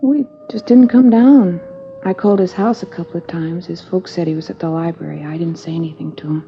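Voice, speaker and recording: A woman's voice: lowish voice, steady tone, fairly quick, close to an everyday voice. An actress playing a worried, earnest teenage schoolgirl who answers police questions in a hesitant, chatty way, in 1950s radio drama style. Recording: dull old radio broadcast with hiss.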